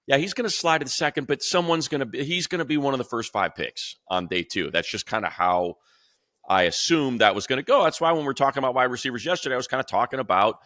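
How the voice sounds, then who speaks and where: A male radio play-by-play announcer in casual sports talk, animated and talking in quick bursts with restarts. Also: mid-range voice, no room sound, clean studio sound. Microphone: video call.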